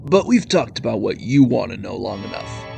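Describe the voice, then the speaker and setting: A man playing a bossy, menacing pot-bellied Gila monster demon in an audio drama, with a gravelly voice. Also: lowish voice, sing-song, moderate pace, darkish tone, soft background music, heavily acted. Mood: scornful, bossy. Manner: menacing, commanding, grumbling. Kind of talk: audio drama character acting.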